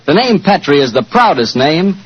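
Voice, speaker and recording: An American man working as announcer and pitchman in 1940s radio style, with a folksy, salesman-like manner. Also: big pitch swings, moderate pace, husky. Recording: muffled 1940s radio broadcast recording.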